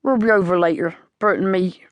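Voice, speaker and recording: A woman's voice: lowish voice, no room sound, natural voice. Woman acting a surly farmer. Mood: grumbling, defiant. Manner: gruff rustic talk, slow and hostile, cursing. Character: surly, hostile, short squat older male farmer.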